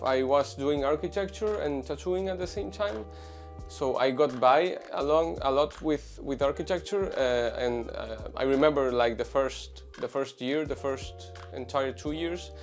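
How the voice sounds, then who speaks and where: A man's voice: medium pitch, a little mellow. A man in his twenties from Venezuela, a tattoo artist answering interview questions casually, with many fillers and restarts. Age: 20s.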